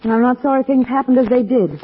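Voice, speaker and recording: An actress playing an earnest young lady, companion to a gentleman sleuth, polished 1940s radio drama acting on a muffled recording. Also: fairly high pitch, fast talker, a little hiss or hum, lightly acted.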